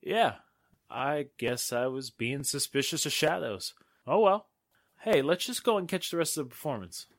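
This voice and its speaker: Man playing an animated, earnest young fellow. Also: a little high, normal speed. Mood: easygoing. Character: earnest, curious young man, friend of the reporter. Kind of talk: radio drama acting.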